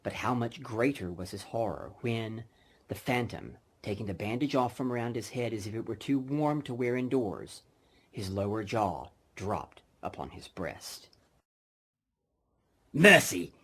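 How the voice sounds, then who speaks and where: Low, husky male storyteller. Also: animated. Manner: measured, dramatic storytelling. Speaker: audiobook narrator. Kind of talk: scripted literary narration.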